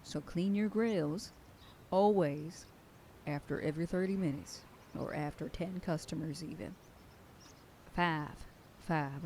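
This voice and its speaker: A stern, protective werewolf sheriff girlfriend, played by a woman, bossy and scolding. Her voice sits low and mellow. A faint hiss of noise lies under the recording. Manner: scolding, bossy then whispering tenderly. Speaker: voice actor. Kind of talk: F4M ASMR roleplay.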